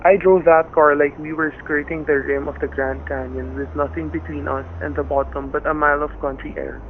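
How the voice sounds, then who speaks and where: A man's voice: on the high side, level tone, brisk, narrow, tinny audio, natural voice. A man playing a plain-spoken, blunt husband and father in a radio suspense play. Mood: tense narration. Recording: thin, band-limited radio sound, faint noise.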